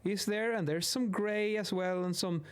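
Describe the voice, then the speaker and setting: Higher-voiced Swedish-accented man explaining. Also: steady tone, normal speed, smooth. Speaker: video host, acoustics instructor. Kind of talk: informal explainer while sketching. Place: small indoor home office. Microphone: close boom-arm studio microphone.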